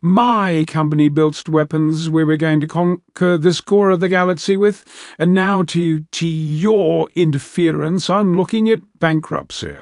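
A man's voice: fairly high pitch, measured pace, few pauses, no echo, studio quality, voice pushed up. A man voicing a haughty elder male cat-like alien villain, contemptuous and threatening in an audiobook. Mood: bitter, angry. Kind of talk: audiobook character voice.

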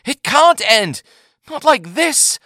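A man's voice: fairly high pitch, lively intonation, unhurried, bright, forceful tone, no room sound, clean studio sound, voice pushed up. A man voicing a proud, anguished male alien warrior, pleading and emphatic in an audiobook.

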